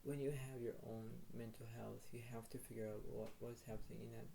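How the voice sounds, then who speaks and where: A man giving a casual oracle card reading in a rambling, repetitive way, full of "you know" fillers. He says his voice is low today. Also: little pitch movement, a little fast, vocal fry, mellow, a little hiss or hum, low-quality recording.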